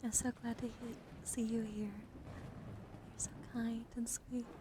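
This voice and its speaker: A woman live streamer doing ASMR, speaking softly and mostly in a gentle whisper to her viewers. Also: on the high side, flat delivery, slow pace. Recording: clearly audible background noise.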